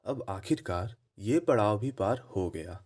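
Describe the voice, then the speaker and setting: A man's voice: lowish voice, expressive, measured pace, a little mellow. A man playing a reflective narrator, reading poetic scripted lines solemnly over music. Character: reflective male narrator of a student's journey.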